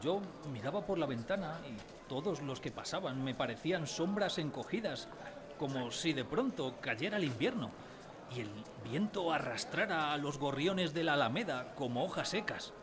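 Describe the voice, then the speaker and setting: A man's voice: fairly high pitch, animated, normal speed, a crowd in the background, lightly acted. An actor narrating a radio drama as a man recalling his village childhood, wistfully, in a literary style. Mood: somber. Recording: music behind the voice.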